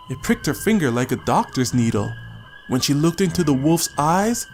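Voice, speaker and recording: A man's voice: a little high, a little hiss or hum. A man narrating a story as a scripted, dramatic audiobook reading.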